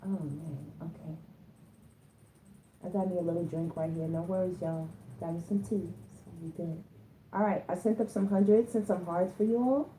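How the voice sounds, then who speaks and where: A hoarse woman giving a casual tarot reading, chatting to her viewers and often stopping to clear her throat. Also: lowish voice, steady tone, moderate pace, dark tone, a little hiss or hum, rough recording. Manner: chatty, addresses listeners as y'all, stops to clear her throat. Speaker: tarot reader.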